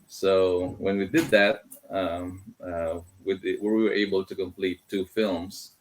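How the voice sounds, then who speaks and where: A middle-aged man from the Philippines, a guest in a casual interview, talking with many pauses and fillers and slipping Tagalog words into his English. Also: low-pitched, little pitch movement, a little hiss or hum, low-quality recording. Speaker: guest, filmmaker.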